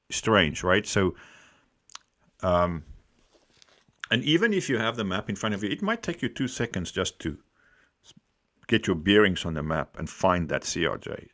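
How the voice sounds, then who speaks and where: Deep-voiced man, animated explainer. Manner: emphatic, deliberate, repeats phrases for stress. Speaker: host giving accident analysis. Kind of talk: explanatory commentary, unscripted.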